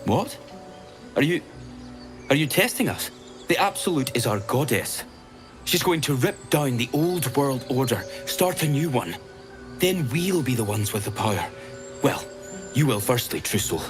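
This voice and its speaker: An actor playing an anxious, fervent young cult follower in a fantasy video game, agitated and halting. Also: male voice, mid-range voice, sing-song. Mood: fervent, wary. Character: anxious, fervent male cult recruit, loyal brother. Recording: music behind the voice.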